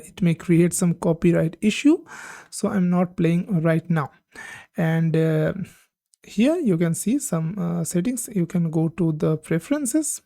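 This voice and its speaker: A woman hosting a tech channel, talking through a software demo on screen, with many "uh" pauses and simple phrasing. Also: fairly low pitch, no echo, studio quality. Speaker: tech tutorial host. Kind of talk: screen-recorded software walkthrough.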